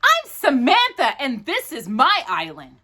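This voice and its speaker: A woman's voice: high voice, huge pitch swings, measured pace, pushed and bright, pitched above the performer's own voice, exaggerated voice. A woman voicing a feisty, playful young girl with emphatic exclamations in a storybook reading. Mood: assertive.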